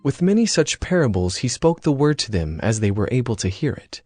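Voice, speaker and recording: A man narrating a dramatized scripture story in a measured storytelling manner. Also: mid-range voice, big pitch swings, a little mellow. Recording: prominent music behind the voice.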